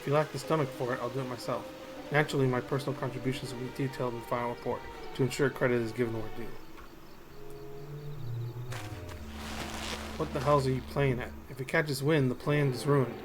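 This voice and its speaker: Man acting out proud fantasy hunter, curt, distrustful, mid-pitched, game music behind. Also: fairly even intonation, very fast, natural voice. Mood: tense, scheming.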